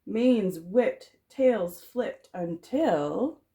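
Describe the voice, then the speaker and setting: A woman teacher reading a children's storybook aloud, dramatic and expressive, drawing out the rhyming words. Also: medium pitch, extremely slow.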